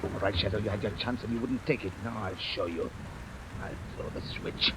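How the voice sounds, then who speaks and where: Male actor as cornered, threatening gang boss, 1930s radio melodrama, clearly noisy recording. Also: fairly low pitch, a little fast, natural voice. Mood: bitter threat. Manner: menacing, melodramatic.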